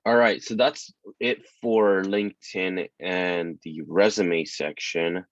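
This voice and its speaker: A man presenting a workshop tutorial in a relaxed, casual way, with lots of ums and you-knows. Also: low voice, slow, dry recording. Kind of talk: casual screen-share tutorial. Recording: dull dry lo-fi audio.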